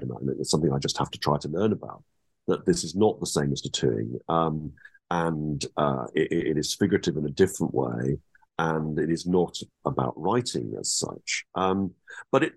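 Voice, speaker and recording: A man's voice: extremely low pitch, vocal fry, a little mellow, husky, no echo. A man being interviewed as an author, speaking thoughtfully and hesitantly with frequent restarts. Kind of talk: academic interview.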